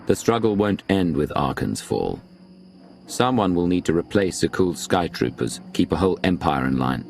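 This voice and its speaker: An actor playing a composed, pragmatic agent and leader in a video game, with measured, deliberate delivery. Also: male voice, low-pitched, very animated, creaky, faint music behind, heavily acted. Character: composed, pragmatic male agent leading an alliance.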